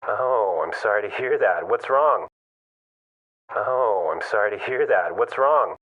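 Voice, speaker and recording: A man reading a polite office manager's lines in a scripted English lesson dialogue, speaking clearly and carefully. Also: on the low side, lively intonation, unhurried, narrow, tinny audio. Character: polite male office manager taking a call. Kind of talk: scripted role-play dialogue.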